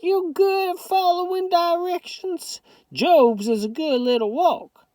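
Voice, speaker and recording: Bossy, booming old Southern grandmother, very high, gushing, tearful,, dry close sound. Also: takes it slowly, no echo. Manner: shouting, weepy, domineering.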